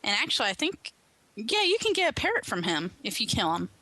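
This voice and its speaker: A woman's voice: on the high side, huge pitch swings, fairly quick, sharp tone, dry recording, low-quality recording. A woman chatting casually on a panel and explaining things conversationally. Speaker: podcast panelist.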